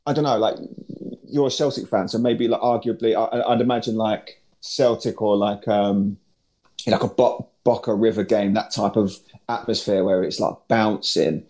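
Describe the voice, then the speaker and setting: A man's voice: on the low side, fairly even intonation, moderate pace, warm tone. A man, a former player, chatting casually and rambling with many fillers as he remembers playing. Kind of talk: casual chat.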